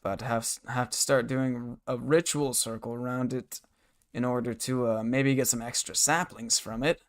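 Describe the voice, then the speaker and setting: Hoarse streamer chatting through gameplay. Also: male voice, medium pitch, steady tone, normal speed. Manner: rambling, muttering to self and chat. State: hoarse, scratchy throat. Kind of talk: casual live-stream gameplay chat. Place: bedroom at a desk.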